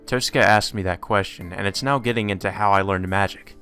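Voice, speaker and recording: A man with a fairly low voice reads a visual novel aloud as a let's player, adding the odd aside. Game music plays softly behind him. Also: fairly quick.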